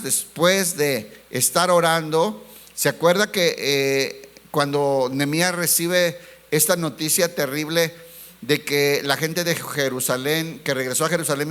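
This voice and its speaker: A pastor with a voice pitched a little above the usual for a man delivers a sermon. He keeps throwing rhetorical questions at his congregation and calls them brothers. He drags out key words to stress them, then rushes through the lines in between. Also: fairly bright tone. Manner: preachy, rhetorical questions, addresses listeners as brothers.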